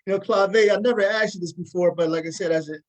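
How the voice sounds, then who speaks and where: A man with a slightly high voice works as a talk show host, putting questions casually and conversationally. He tends to double back on words and reword a question midway. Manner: halting, repeats words and rephrases questions.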